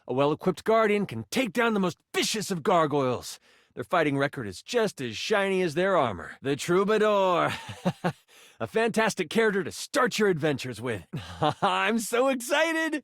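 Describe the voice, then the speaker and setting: Animated, theatrical fantasy game master. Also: male voice, high voice, dry recording, studio quality, heavily acted. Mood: excited, eager. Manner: theatrical, grandiose fantasy phrasing. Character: excitable, theatrical dice character, eager tabletop game master. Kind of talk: video game voice acting.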